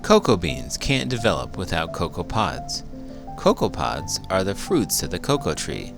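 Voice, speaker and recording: A man reading a children's book aloud as a teacher would, expressive and full of big emotion. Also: low voice, a little slow, vocal fry, music in the background. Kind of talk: animated children's read-aloud narration.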